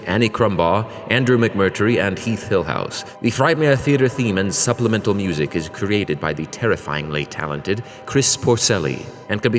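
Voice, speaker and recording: A man playing a grand, menacing summoned announcer, reading theatrical spooky radio credits in heavy reverb. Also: sits low. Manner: theatrical, macabre. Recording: long cavernous reverb over music.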